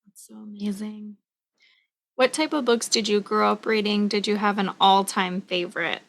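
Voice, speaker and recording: A woman hosting an author interview with a gravelly edge to her voice. She asks short questions and answers with brief encouragement. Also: mid-range voice, steady tone, moderate pace, a bit bright.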